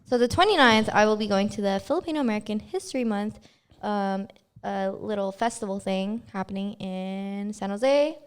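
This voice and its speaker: A young woman co-hosting a casual podcast, chatty and quick to laugh as she reads out personality test results. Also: mid-range voice, clean tone. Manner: giggly, chatty, laughs often. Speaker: podcast co-host. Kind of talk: casual chat, reading test results aloud.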